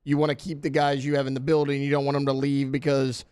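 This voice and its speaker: A man on a sports radio show in a studio, chiming in with quick points in casual banter. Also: mid-range voice, completely flat delivery, a little mellow, smooth.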